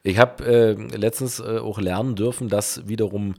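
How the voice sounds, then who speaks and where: Low-voiced Berliner podcast host. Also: male voice, fairly even intonation, moderate pace, creaky, warm tone. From East Berlin, Germany. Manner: conversational, leading questions. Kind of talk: interview podcast.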